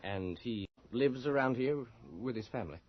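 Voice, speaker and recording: A man's voice: mid-range voice, normal speed, no echo, natural voice. A male actor playing a courteous, ingratiating and persistent newspaper reporter in a radio drama. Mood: polite, probing. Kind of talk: 1980s radio drama acting. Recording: muffled old radio broadcast.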